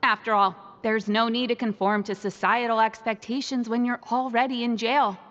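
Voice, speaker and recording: A woman's voice: a little high, steady tone, moderate pace, fairly bright tone, long reverb tail, dull audio, close to an everyday voice. An actress playing a friendly, content female prisoner in a video game, explaining things in an easygoing way. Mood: content, reassuring. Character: friendly, content female prisoner and club member tidying books. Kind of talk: video game dialogue acting.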